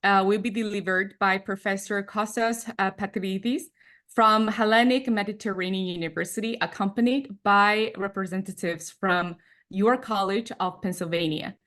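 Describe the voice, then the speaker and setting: A woman's voice: medium pitch, fairly even intonation, normal speed, fairly bright tone. A woman moderating an online panel, introducing speakers in a formal way. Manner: formal, announcing.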